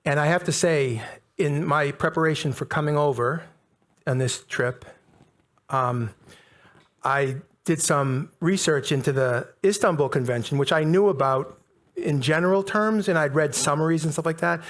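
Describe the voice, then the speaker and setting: A man's voice: fairly high pitch, normal speed. A middle-aged North American man giving a conversational, emphatic public talk to a live audience. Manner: conversational, emphatic, repeats key words.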